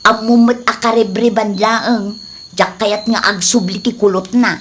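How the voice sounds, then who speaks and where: A woman's voice: mid-range voice, fairly even intonation, a little slow, slight background noise, lightly acted. A woman playing a cheeky, carefree wife in a comedy radio drama, whining and flippant.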